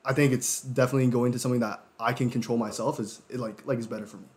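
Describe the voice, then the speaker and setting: A man in his twenties sits in as a podcast guest and talks with quick, easy energy. His speech is relaxed and full of slang, with lots of "yo" and "you know". His voice sits in an ordinary middle register. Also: medium pitch. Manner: laid-back slangy talk, often ends with "yo". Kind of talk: casual chat.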